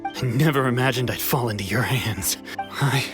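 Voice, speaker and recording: A man's voice: mid-range voice, moderate pace, close to an everyday voice. A man playing a defeated, melancholy swordsman in a video game, subdued and hesitant. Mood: resigned. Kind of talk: dramatic video game voice acting. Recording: game music behind the voice.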